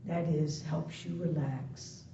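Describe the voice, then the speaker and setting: A woman meditation instructor leading a guided practice in soothing, deliberate phrases with long pauses between them. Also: steady tone, dark tone. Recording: muffled, echoey, distant web-call audio, faint rumble.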